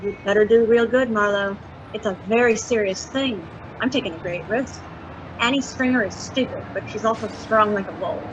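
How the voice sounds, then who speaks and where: Scheming femme fatale informant , actress, mid-pitched, coy and deliberate, dull recording with street noise. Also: female voice, natural voice. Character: sly, flirtatious female informant selling dangerous secrets. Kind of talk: hard-boiled detective radio drama, femme fatale. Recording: dull, noisy track with street ambience.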